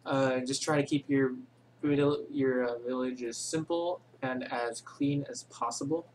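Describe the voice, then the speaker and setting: A man talks through a game tutorial in an offhand, unscripted way, his pitch sitting in the middle of the usual range. He wanders between points, corrects himself and fills gaps with ums, and calls his own voice boring. A faint hiss sits under his desktop mic. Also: fairly even intonation, unhurried, a little mellow. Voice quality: says he has a boring voice. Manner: rambling, unscripted, many ums and self-corrections. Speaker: game tutorial channel host. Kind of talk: casual screen-recorded game tutorial.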